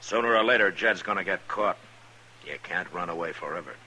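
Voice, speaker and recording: An actor playing a steady, firm frontier marshal, with an American accent and a measured, authoritative delivery in a western radio drama. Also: male voice, deep voice, husky, heavily acted. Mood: sternly reasoning. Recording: noisy vintage radio recording.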